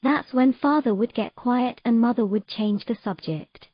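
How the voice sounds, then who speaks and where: A woman's voice: fairly high pitch, a little slow, no room sound, muffled recording. Woman playing an earnest, troubled young mermaid. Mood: wistful storytelling. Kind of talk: romantic fantasy audio drama acting.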